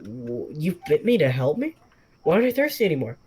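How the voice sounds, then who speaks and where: A man doing a parched, croaking stranded man. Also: on the high side, darkish tone. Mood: bewildered. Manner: croaking, hurried, confused questions.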